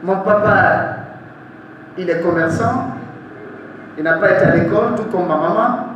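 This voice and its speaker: A young man with a Guinean accent speaks in a high voice as a panel moderator. His delivery is slow, formal and deliberate, addressed to a forum audience. The sound is very echoey, as in a large hall. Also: a bit bright. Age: young adult. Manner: formal, deliberate, repeats phrases for emphasis. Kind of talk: public speech to a forum audience. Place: large echoey room at a live event. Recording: echoey hall, distant mic, faint noise.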